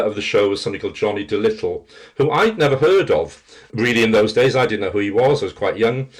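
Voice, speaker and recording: Guy, middle aged, rich mid-pitched voice, reminiscing in an interview. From Wales. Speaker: interview guest, theatre practitioner and teacher.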